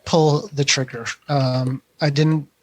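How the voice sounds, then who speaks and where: A man's voice: mid-range voice, flat delivery, slow, a little mellow, slight background noise. A man co-hosting a tech podcast, chatting casually and hesitantly as he thinks aloud. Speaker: podcast co-host.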